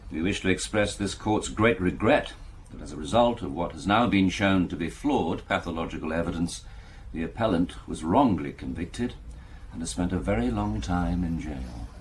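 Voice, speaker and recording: An actor playing a formal appeal-court judge, speaking in a measured, judicial way in a radio drama. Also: male voice, low voice, expressive. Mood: grave, formal. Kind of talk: naturalistic radio drama acting. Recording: faint background noise.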